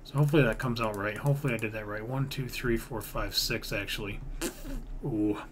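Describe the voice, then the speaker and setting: A man's voice: lowish voice, fairly even intonation, a little fast, slight background noise. A man giving casual let's-build gaming commentary, rambling and thinking aloud as he talks. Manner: rambling, half-muttering counts, mild swearing. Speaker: gaming video creator narrating his own build series. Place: indoors at a computer.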